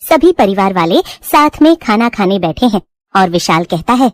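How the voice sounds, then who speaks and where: A woman's voice: mid-range voice, sing-song, moderate pace, no room sound. A woman reading a scripted bedtime moral story as narrator.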